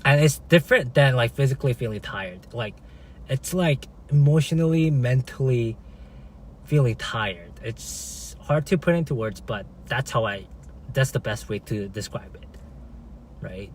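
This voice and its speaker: Man, native Korean speaker, casual live-stream host, halting with many fillers, faint background noise. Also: mid-range voice, animated. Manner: halting, many fillers and restarts, frequent "right?". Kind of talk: casual live-stream chat, reading viewer comments.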